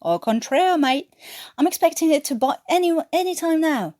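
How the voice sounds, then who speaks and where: A woman's voice: high voice, animated, moderate pace, warm tone, dry recording, clean studio sound, voice pushed up. A woman voicing a wily young-spirited English prisoner of war with an English accent, smug, teasing and nonchalant, in a story reading.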